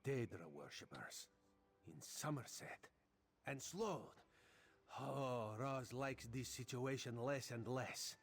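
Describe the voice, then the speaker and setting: A man's voice: medium pitch, expressive, slow. An actor playing a wry, theatrical cat-man royal agent in a video game, speaking of himself in the third person. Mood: concerned, resolute. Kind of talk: video game character dialogue.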